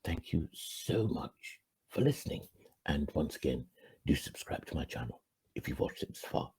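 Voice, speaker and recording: A middle-aged man from Ghana, hosting his channel with an earnest, reflective monologue that swings from emphatic rises to quiet, low endings. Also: sing-song, moderate pace, breathy edge, low-quality recording. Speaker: channel host.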